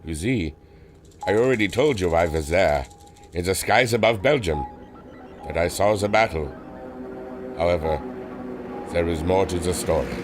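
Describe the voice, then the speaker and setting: A man's voice: low-pitched, normal speed, pauses often, music in the background, close to an everyday voice. A man playing an earnest, remorseful German airship adventurer with a German accent, solemn and melodramatic. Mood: solemn confessing. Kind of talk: old-time radio adventure serial acting.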